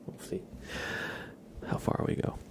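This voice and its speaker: A man whispering softly and breathily as he chats casually with viewers on an ASMR livestream. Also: a little slow, background noise. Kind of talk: ASMR, chatting softly with live chat.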